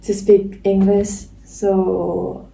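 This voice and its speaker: Slow mellow Japanese woman. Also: medium pitch, flat delivery, creaky, recorded from a distance, a little hiss or hum. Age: 20s. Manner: unhurried, soft, trailing off. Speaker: podcast co-host. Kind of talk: casual chat. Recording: echoey room, faint ambience.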